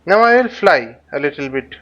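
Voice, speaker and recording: Man, higher-pitched voice, halting tutorial commentary, muttering to himself, slightly muffled lo-fi recording. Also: very animated, fairly bright tone. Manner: halting, thinking aloud, often muttering to himself. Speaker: flight simulator instructor. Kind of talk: unscripted tutorial commentary while flying a simulator.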